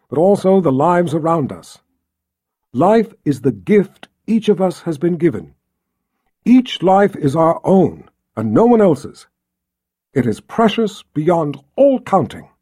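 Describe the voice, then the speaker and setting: A man's voice: a little high, warm tone, slightly rough, pitched above the performer's own voice, full character voice. Man playing a proud lord, ringing solemn oratory. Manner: ceremonial, oratorical, emphatic. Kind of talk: audiobook character acting.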